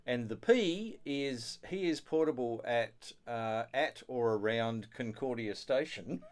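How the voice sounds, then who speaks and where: A man's voice: mid-range voice, expressive, slow pace. A man hosting a casual studio chat, affirming and given to chuckling as he talks. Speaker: host of an amateur radio show. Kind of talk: casual chat about ham radio.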